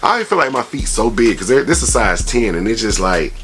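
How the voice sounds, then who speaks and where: Male sneaker vlogger, lowish voice, slangy and conversational, casual review style over faint music. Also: big pitch swings, moderate pace, a bit bright. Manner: slangy, conversational, peppered with tag phrases. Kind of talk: casual product review vlog with shout-outs.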